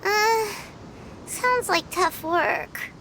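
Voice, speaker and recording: Squeaky, childlike little game companion. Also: female voice, extremely slow, noisy background, full character voice. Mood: curious, unsure. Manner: squeaky, childlike, plain-spoken. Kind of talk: scripted video game dialogue.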